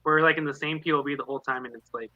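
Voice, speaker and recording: A man's voice: a little high, fairly even intonation, very fast, pushed and bright, dry recording, dull audio. A man in a casual book discussion, rambling along and voicing quotes as he tells anecdotes. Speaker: read-along panelist. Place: online live video chat.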